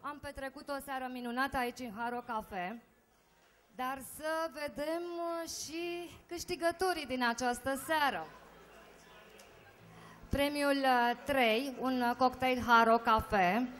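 High-voiced woman emceeing a karaoke awards night. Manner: lively, rallying the crowd for applause. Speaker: awards presenter. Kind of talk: live stage emcee announcing winners. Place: stage of a rock cafe with karaoke audience. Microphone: handheld microphone.

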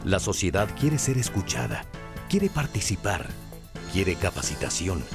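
A man's voice: low voice, big pitch swings, unhurried, creaky. A man with a Mexican accent reading scripted documentary narration. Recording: music bed under narration.

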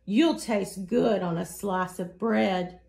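A woman's voice: mid-range voice, slow, vocal fry, a little mellow. A woman voicing a big, hungry, gleeful monster in a storybook, exclaiming heartily. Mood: hungry, amused.

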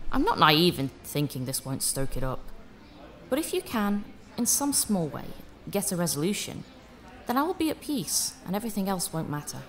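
An actress plays a widow in her seventies, kindly and resilient. Her lowish voice swings widely in pitch, in audio drama style.

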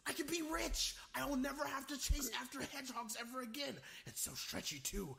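Pompous cartoon villain played by a man, gravelly yet bright, melodramatic, middle-aged. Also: very high voice, expressive, brisk, heavily acted. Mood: awed, greedy. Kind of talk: improvised comedy fandub.

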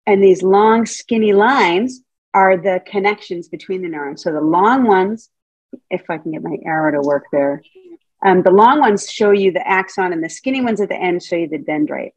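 Husky woman giving a chatty video-call lecture. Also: lowish voice, moderate pace, darkish tone, no room sound, muffled recording. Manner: chatty and playful, asks the audience questions. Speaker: Montessori teacher trainer giving a talk. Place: home room, indoors. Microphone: video call webcam.

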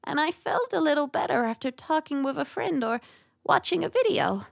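A woman's voice: sits high, sing-song, normal speed, vocal fry, no echo, clean studio sound, heavily acted. A woman voicing a timid, insecure, sweet mask creature in a cartoon dub, with a plaintive, fragile manner. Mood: shy, sweet. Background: music.

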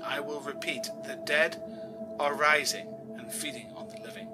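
A man's voice: fairly high pitch, pitched above the performer's own voice, lightly acted, poor audio. A man playing a grave, dishevelled news reporter giving a formal emergency broadcast in an audio drama.

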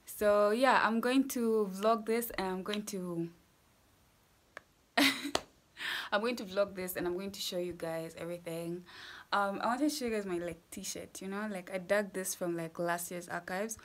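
A woman's voice: mid-range voice, unhurried. A young woman talking casually to camera in her bedroom, chatty and full of fillers, laughing often, filmed at arm's length. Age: young adult. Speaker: vlogger. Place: bedroom at home, indoors. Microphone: phone or camera at arm's length.

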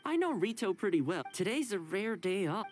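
An actor playing an easygoing, modest young housekeeper in a video game, friendly and conversational. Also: male voice, high voice, very animated, a little fast, over music. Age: young adult. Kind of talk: scripted game dialogue.

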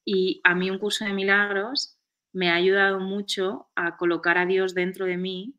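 A woman in her forties, a guest on a spiritual video-call chat, who explains her ideas calmly and slows down for key points. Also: medium pitch, dull audio. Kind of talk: reflective spiritual conversation. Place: home room, indoors. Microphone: video call webcam.

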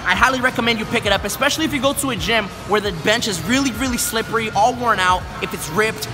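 Male fitness vlogger, 20s, high-pitched and animated, casual joking delivery over loud music. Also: quick pace. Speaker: fitness vlogger reviewing gym gear. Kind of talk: casual vlog talk to camera. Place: large busy commercial gym. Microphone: handheld camera at arm's length.

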